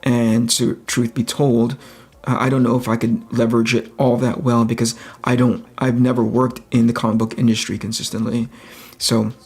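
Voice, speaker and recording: A man with a relaxing, soft-edged voice chats casually on a livestream while he works, thinking aloud and searching for his words. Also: mid-range voice, level tone, moderate pace, breathy edge. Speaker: livestream host, comic book artist.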